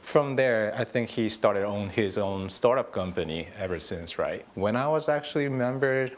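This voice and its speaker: A man's voice: fairly low pitch, expressive, moderate pace. A man from Japan with a Japanese accent, a company chief answering interview questions in informal, loosely phrased conversational English. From Tokyo, Japan. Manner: informal, loosely phrased with repeated "actually" and "you know". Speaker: company founder and CEO being interviewed.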